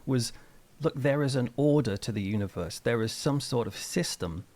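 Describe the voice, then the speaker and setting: A man's voice: mid-range voice, lively intonation, normal speed, a little mellow. A middle-aged man speaking as an interview guest, explaining ideas in a thoughtful, discursive, conversational way. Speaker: interview guest, church minister and author.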